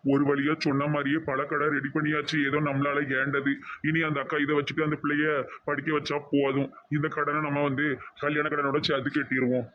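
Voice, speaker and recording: Man as a quick, earnest Tamil cartoon lawyer. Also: a little high, monotone, phone-quality sound, close to an everyday voice. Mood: earnest, kindly. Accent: southern Tamil Nadu. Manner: earnest, advising. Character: earnest, kindly young male lawyer helping neighbours. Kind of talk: cartoon dialogue in colloquial dialect.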